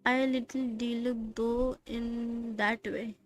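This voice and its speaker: High-voiced Indian woman livestreaming a game. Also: little pitch movement, slow pace, faint music behind. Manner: slangy, teasing, joking. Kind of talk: casual live commentary, chatting and reacting.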